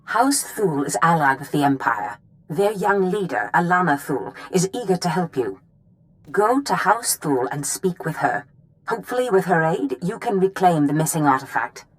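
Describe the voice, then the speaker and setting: A woman playing a scheming Sith mistress in a video game, speaking with silky, measured formality. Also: on the low side, very animated, poor audio. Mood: instructive. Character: scheming Sith lord mistress, gracious and coaxing. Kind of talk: scripted video game dialogue.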